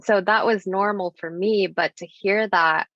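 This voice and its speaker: A woman's voice: medium pitch, steady tone, unhurried, a bit bright, no echo. A young woman from the United States whose first language is Japanese, speaking as a podcast guest in an earnest, thoughtful way, with many casual fillers. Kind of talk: reflective personal conversation. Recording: dull lo-fi sound.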